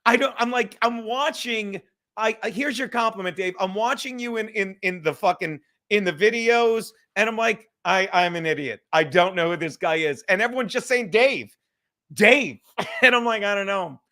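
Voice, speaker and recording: A man with a high, bright voice hosts a loose livestream hang. He rambles casually, putting himself down and swearing freely as he goes. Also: normal speed. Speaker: livestream host. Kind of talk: casual profane livestream chat.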